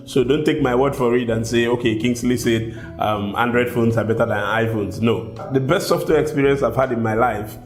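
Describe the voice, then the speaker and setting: A man's voice: medium pitch, steady tone, moderate pace, few pauses. A man from Nigeria with a Nigerian accent, talking casually to his viewers as a tech vlogger and sometimes repeating words while he thinks. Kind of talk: casual talk to camera. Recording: echoey room with soft guitar music behind.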